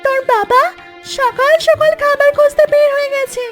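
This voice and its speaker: A protective cartoon mother duck, pitched extremely high. She speaks in a fretful, admonishing way, worried for her young. Music runs clearly behind the voice. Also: fairly even intonation, normal speed. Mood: scolding.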